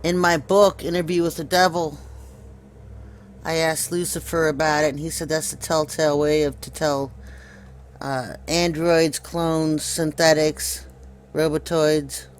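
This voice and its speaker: A man giving unscripted video commentary, deliberately pointing things out to his viewers. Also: on the high side, little pitch movement, slight background noise.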